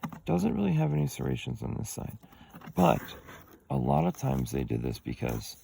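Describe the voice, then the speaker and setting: A man's voice: medium pitch, huge pitch swings, moderate pace, gravelly, dark tone, slight background noise. A man who is a little sick and losing his voice, explaining stone artifacts in a hesitant, rambling way full of ums and uhs. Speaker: artifact collector explaining finds. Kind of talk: casual show-and-tell explanation.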